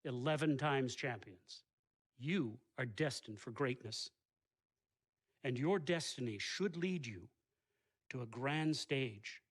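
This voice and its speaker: A male college recruiter in a video game story. His voice is of average pitch. He speaks grandly and solemnly, persuading as he goes. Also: expressive, slow pace, pauses often, husky. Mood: grand, persuasive. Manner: lofty, solemn sales pitch. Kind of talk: video game cutscene acting.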